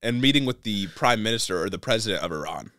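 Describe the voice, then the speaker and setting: A young man in his twenties co-hosting a casual book review podcast, laid-back and low-key as he recaps the plot, on a boom mic in a small home studio. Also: expressive, quick pace, fairly bright tone, dry recording. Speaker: podcast co-host. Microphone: boom mic on stand.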